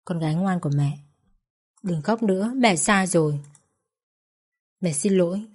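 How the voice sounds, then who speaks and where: A woman voicing a grieving young mother who talks softly and pleads close to tears. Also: on the low side, moderate pace, lightly acted. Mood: tearful. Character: grieving young mother at her husband's bedside.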